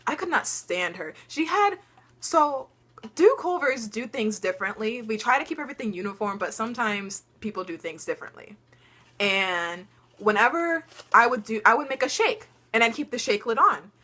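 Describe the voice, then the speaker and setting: A teenage girl chatting on camera at home, her voice bright and forward. She tells stories in a loose, chatty way, laughing often and filling gaps with ums. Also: fairly high pitch, sing-song, normal speed. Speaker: vlogger. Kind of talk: casual storytelling chat.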